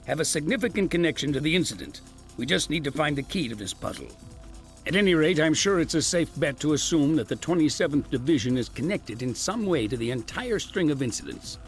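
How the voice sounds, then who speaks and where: A man's voice: a little high, very animated, a little fast, faint music behind. A man playing an authoritative special-ops chief in a video game, formal and resolute in his briefing. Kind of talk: English dub acting in a video game cutscene.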